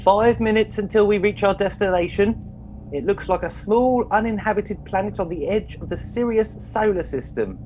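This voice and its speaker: A man's voice: high-pitched, normal speed, darkish tone, faint music behind, lightly acted, rough recording. A man playing a naive, sulky young private and mummy's boy in a comic radio play, childish and a little whiny.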